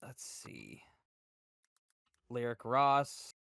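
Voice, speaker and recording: Slow, smooth-voiced man chatting, close and dry. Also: mid-range voice, wildly swooping pitch, a bit bright, no room sound. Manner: hesitant, thinking aloud. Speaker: podcast co-host. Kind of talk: casual chat.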